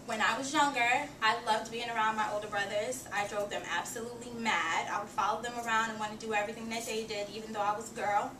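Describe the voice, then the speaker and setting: A woman's voice: fairly high pitch, steady tone, fast, hardly any pauses. A young woman from Haiti, a student giving a prepared personal introduction speech to her class in an earnest, self-reflective way. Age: young adult. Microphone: far camera. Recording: echoey classroom from far off with noise.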